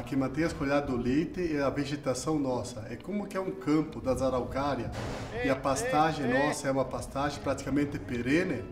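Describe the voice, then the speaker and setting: A middle-aged man, proudly explaining his local cheese, a little halting. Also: fairly high pitch. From Brazil. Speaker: farmer. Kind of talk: casual explanation. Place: small cheese dairy, indoors. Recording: echoey tiled dairy room.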